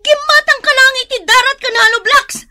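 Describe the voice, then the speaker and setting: An actress playing a fed-up, scolding Filipino wife with an Ilocano accent in a radio comedy drama. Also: female voice, steady tone, fairly quick, sharp tone, a little hiss or hum, full character voice. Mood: angry, hurt. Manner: nagging, shrill.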